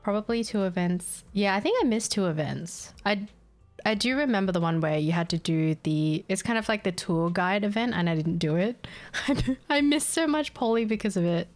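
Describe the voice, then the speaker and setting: A woman's voice: mid-range voice, expressive, normal speed, soft background music. Tired giggly woman streamer. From Australia. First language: Vietnamese. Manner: tired, scatterbrained, giggly, reads chat aloud. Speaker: game streamer. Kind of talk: casual live-stream chat while gaming.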